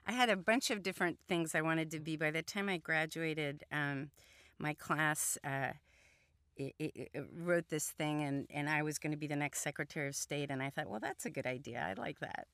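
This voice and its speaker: A woman's voice: low voice, moderate pace, fairly bright tone, dry recording, studio quality. A middle-aged woman from the American Midwest, a guest on a radio interview, talking thoughtfully with frequent ums and restarts. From Columbus, Ohio, United States. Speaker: guest, engineer and entrepreneur.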